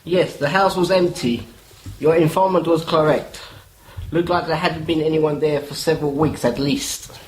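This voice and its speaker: A man's voice: fairly high pitch, fairly even intonation, moderate pace, a little mellow, a little hiss or hum, natural voice, poor audio. A man playing a boastful, self-assured hired burglar in a radio play, speaking in a proud, stiffly formal way. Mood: cocky.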